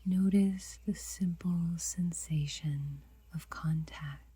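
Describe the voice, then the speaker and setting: Woman, meditation guide, breathy whisper, low pitch, very mellow tone, gentle, long pauses. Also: no echo.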